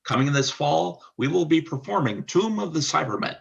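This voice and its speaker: A man's voice: on the high side, expressive, moderate pace, creaky, highs cut off. A man reading scripted stage-direction narration for a radio play.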